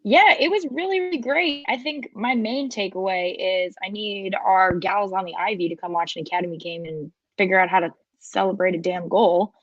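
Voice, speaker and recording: A woman's voice: mid-range voice, normal speed, hardly any pauses, fairly bright tone, no room sound. A woman chatting informally and emphatically as a panelist on a sports podcast. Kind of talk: casual sports podcast chat. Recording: dull, dry, lo-fi audio.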